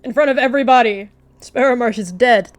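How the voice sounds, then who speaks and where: A woman's voice: a little high, fairly quick, voice pushed up, lightly acted. A woman voicing a vengeful medicine cat who feigns innocent, sweet concern in a game roleplay. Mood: feigned panic. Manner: falsely sweet, forced purr.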